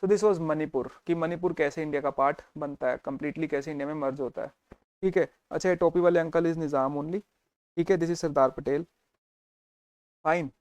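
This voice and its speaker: A man lectures his students with a fairly high voice, recorded close and dry with no room sound. He tells the history as a story and keeps asking whether they follow. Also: moderate pace, darkish tone, smooth, no room sound. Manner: storytelling, mixes Hindi and English, checks in with students. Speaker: teacher. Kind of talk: exam revision lecture.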